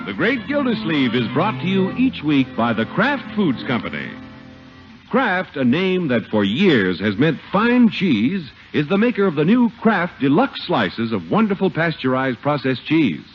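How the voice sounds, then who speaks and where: A man's voice: fairly high pitch, sing-song, measured pace. Dramatic, swooping 1950s radio announcer man. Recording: muffled old radio recording, loud music.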